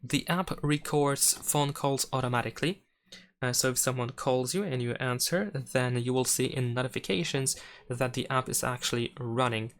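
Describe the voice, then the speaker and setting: A man's voice: mid-range voice, moderate pace. A man presenting a step-by-step phone tutorial in an instructional, explanatory way.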